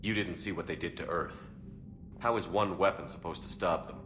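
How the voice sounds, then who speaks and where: Male, game role: grim military starship captain, played by a male actor, low-pitched, terse, commanding, over noisy ambience. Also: moderate pace, lightly acted. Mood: weary, doubtful. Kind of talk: video game cinematic acting.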